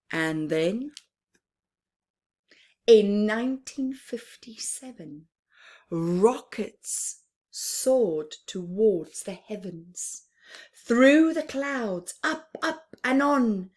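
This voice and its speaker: Woman, children's story time reader, very slow pace, medium pitch, expressive phrasing, pauses, hushed dips. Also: gravelly, breathy edge. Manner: deliberate phrasing with pauses, often dropping to a hushed near-whisper. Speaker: children's story time host reading a picture book aloud. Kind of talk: expressive read-aloud for young children, with chatty asides.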